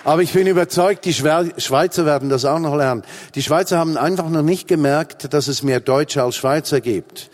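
A man's voice: on the high side, moderate pace, hardly any pauses, a faint crowd. A Swiss man in his forties with a Swiss German accent, preaching a humorous sermon with emphatic repetition. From Switzerland. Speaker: pastor.

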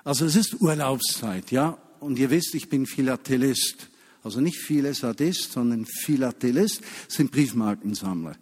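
A man preaching a sermon to a congregation, emphatic, stretching key phrases and dropping to a hush. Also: mid-range voice, expressive, slightly rough. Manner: emphatic, with slow drawn-out key phrases and hushed drops. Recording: long hall reverb.

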